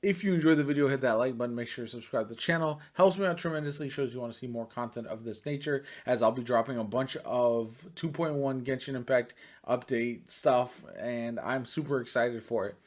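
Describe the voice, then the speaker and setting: Male gaming vlogger, medium pitch, conversational and chatty reaction commentary. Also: a little fast, few pauses, darkish tone. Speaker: gaming YouTuber. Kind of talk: casual reaction and commentary to camera.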